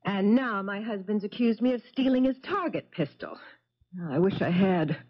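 A woman's voice: medium pitch, lively intonation, moderate pace, close to an everyday voice. An actress playing a scheming, discontented glamorous wife in her thirties in a 1970s radio drama. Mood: bitter, angry. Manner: bitter, mocking. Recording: dull 1970s radio recording.

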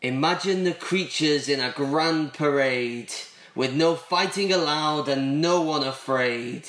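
A man reading a children's picture book aloud, deliberate and emphatic on action words. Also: on the high side, a bit bright. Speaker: school staff reader. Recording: roomy, echoey sound.

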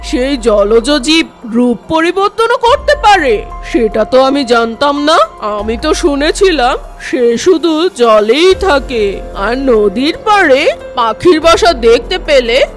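A woman voicing a caring crow friend in a children's cartoon, with a calm, soothing manner. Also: sits high, faint music behind, heavily acted. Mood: surprised, concerned. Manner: unhurried, soothing.

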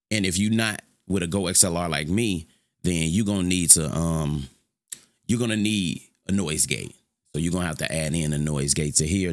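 A young man with a deep voice giving a loose, rambling, slangy tutorial on his streaming setup from his home room. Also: no echo. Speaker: streamer showing mic settings. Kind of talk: casual screen-recorded tutorial.

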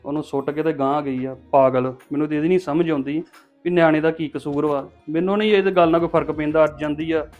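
Man acting a high-pitched, blunt family man. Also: steady tone, quick pace, a little mellow, lo-fi sound, soft background music, lightly acted. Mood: tender, weary. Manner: blunt, emphatic, colloquial. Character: scolding, short-tempered man, protective toward an abandoned baby. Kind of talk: melodramatic domestic drama acting.

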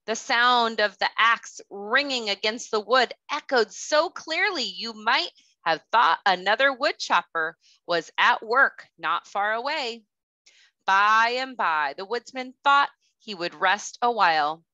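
A woman in her thirties, a kindergarten teacher reading a children's storybook aloud with careful, expressive delivery. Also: fairly high pitch, slow, no room sound, highs cut off. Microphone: headset.

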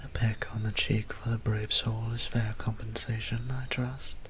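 A man playing a gentle, courtly young vampire priest in an ASMR roleplay, soft and breathy, with hesitant, formal, tender phrasing. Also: fairly low pitch, flat delivery, moderate pace, a little hiss or hum, natural voice. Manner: hesitant, tender, formal old-fashioned wording. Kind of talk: ASMR roleplay audio drama.

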